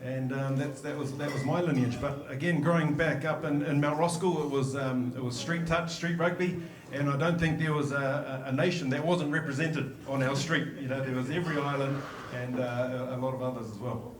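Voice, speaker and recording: A man in his forties with a New Zealand accent, a podcast guest recalling his career in a measured way, with frequent pauses and fillers. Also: medium pitch, steady tone, echoey room, distant mic, a crowd in the background. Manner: reflective, measured storytelling with many fillers. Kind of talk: podcast interview.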